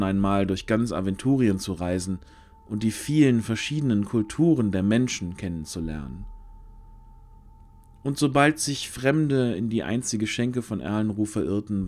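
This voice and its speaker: A man's voice: lowish voice, darkish tone. A man narrating a scripted fantasy story for an audio drama.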